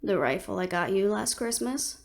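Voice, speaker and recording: Fantasy audio roleplay: composed, protective female adventurer, played by a woman, mid-pitched with gravelly fry, gently teasing. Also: expressive, normal speed, dry recording, studio quality. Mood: teasing, fond.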